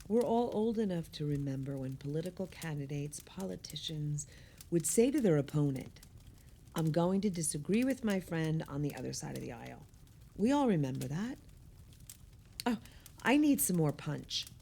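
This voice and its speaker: An actress playing a thoughtful, opinionated older woman in an audio play, speaking in a measured, naturalistic conversational way. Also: deep voice, big pitch swings, vocal fry, mellow, slight background noise, full character voice. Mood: reflective.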